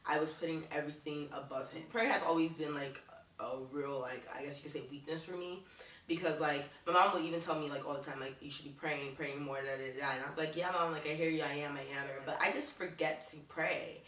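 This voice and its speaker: A woman's voice: low-pitched, fairly even intonation, a little fast, a bit bright, reverberant, far from the mic, low-quality recording. A young woman vlogging casually to camera, chatting and leaning on "like" in nearly every sentence. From Baltimore, USA. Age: young adult. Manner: chatty, leans on "like" constantly.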